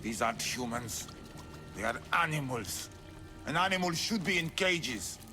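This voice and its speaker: An actor playing a cold, formal, embittered noble of an ancient race who despises humans, in a video game drama. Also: male voice, mid-range voice, expressive, a little slow, bright, forceful tone, faint music behind. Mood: contemptuous.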